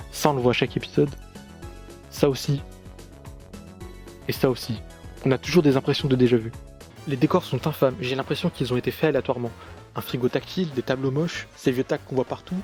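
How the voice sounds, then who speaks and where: A man's voice: mid-range voice, darkish tone. A man reading scripted commentary for a video review in a sarcastic, critical manner.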